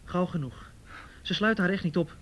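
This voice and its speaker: An actor in a radio play voicing a brisk, reassuring man who takes charge. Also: high voice, close to an everyday voice. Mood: confident, reassuring. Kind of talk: radio drama acting. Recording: dull radio play, faint noise.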